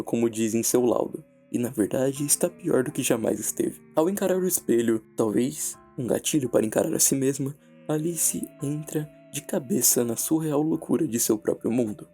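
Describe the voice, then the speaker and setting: A Brazilian man narrating a scripted horror analysis in a measured, dramatic way with deliberate pauses. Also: mid-range voice, mellow. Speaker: narrator and video essayist. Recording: background music under the voice. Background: music.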